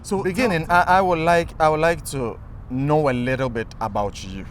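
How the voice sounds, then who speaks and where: A man with a Ghanaian accent hosting an outdoor street interview, playful and animated, teasing his guests. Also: fairly high pitch, normal speed. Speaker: host. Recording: faint outdoor street noise. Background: street and traffic noise.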